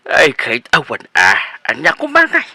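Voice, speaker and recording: An actor playing an eager, teasing middle-aged suitor who stammers and wheedles, in a comedy radio drama. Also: male voice, on the high side, huge pitch swings, normal speed, sharp tone, no room sound, exaggerated voice. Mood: flustered.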